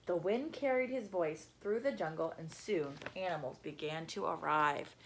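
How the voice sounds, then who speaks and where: A young adult woman reading a picture book aloud to children, animated and explanatory, with asides. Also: lowish voice, moderate pace, a little hiss or hum, low-quality recording. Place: small room at home.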